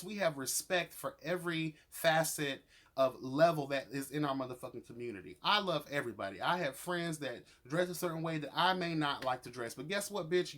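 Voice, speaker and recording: A man's voice: on the high side, fairly bright tone. A man giving casual, profane YouTube review commentary in a sassy, emphatic, slangy way, addressing people as honey and girl. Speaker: YouTuber reviewing a reality show.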